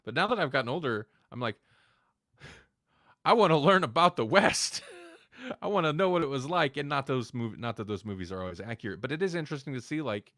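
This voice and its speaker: A man with a bright, forceful voice hosts a casual podcast. He rambles and breaks into his own sentences with asides. The sound is dry, with very little room on it. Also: medium pitch, sing-song, fairly quick, no room sound. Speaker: podcast host.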